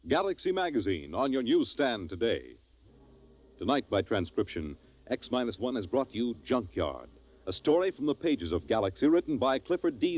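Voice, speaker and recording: A male announcer reads in the formal manner of 1950s network radio. His intonation rises and falls boldly in a measured, scripted delivery. The sound is a tinny, narrow old broadcast recording. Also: mid-range voice.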